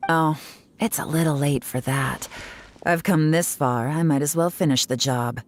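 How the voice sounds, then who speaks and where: A woman voices a poised, confident investigator in a game, with a notably low voice. She speaks calmly and slyly, her threats quiet and controlled. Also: normal speed, slight background noise, close to an everyday voice. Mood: menacing. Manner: calm, sly, quietly menacing. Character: cool, confident female investigator. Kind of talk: game voice acting.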